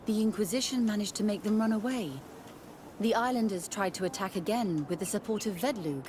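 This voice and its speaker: An actress playing a composed, resolute missionary sister in a video game, speaking in a formal, measured way. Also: female voice, medium pitch, noisy background, natural voice. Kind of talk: video game cutscene acting.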